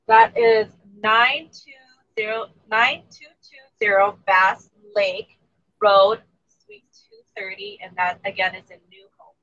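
A woman from Thailand who grew up in the U.S., speaking as an interview guest with an American accent. She is soft-spoken and trails off at the ends of phrases. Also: fairly even intonation, slow. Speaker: guest, community organizer. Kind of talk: interview answers. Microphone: low-level remote mic. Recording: dull low-level remote mic.

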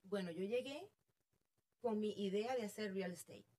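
A middle-aged woman with a Venezuelan accent, a real estate agent guest telling her story in an animated, self-amused way. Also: medium pitch, normal speed. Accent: Venezuelan Spanish. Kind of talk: casual interview chat.

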